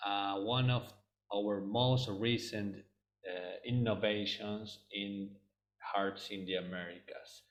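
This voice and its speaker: A man's voice: on the low side, slight background noise. A man from Argentina, a native Spanish speaker with an Argentine Spanish accent, giving a webinar presentation over a video call and often hesitating with ums and restarts.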